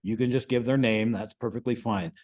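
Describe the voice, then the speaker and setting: A man giving an informal, explanatory lesson to a group as the instructor, often checking in with a quick "right?". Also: mid-range voice, fairly even intonation, a little mellow. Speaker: amateur radio class instructor.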